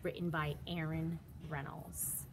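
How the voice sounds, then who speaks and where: A woman's voice: low voice, little pitch movement, slow, gravelly, very noisy. A woman reading a children's storybook aloud as a teacher, with dramatic, emphatic delivery.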